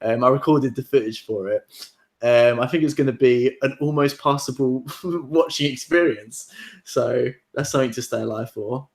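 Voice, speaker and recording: A man's voice: medium pitch, normal speed. Man, casual host, rambling, frequent laughter. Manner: rambling, laughs a lot, many fillers. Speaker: podcast host. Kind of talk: casual chat.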